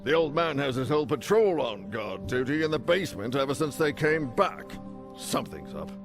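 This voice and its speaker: A man voicing a gruff but kindly town guard sergeant in a video game. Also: medium pitch, sing-song, moderate pace, over music. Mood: reassuring, conspiratorial. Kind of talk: video game cutscene acting.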